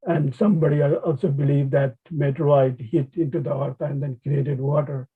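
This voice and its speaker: A man with a Nepali accent lectures informally in a husky, fairly high voice. His phrasing is halting, with many restarts. The sound is thin and narrow, like a phone line on an online call. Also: fairly even intonation. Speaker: conservation biologist, webinar speaker. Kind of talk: informal academic webinar talk. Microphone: video call.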